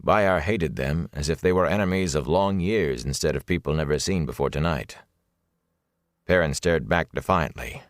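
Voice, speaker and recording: A man's voice: very deep voice, moderate pace, no echo. A man reading a fantasy novel as a scripted audiobook narration, close on the microphone.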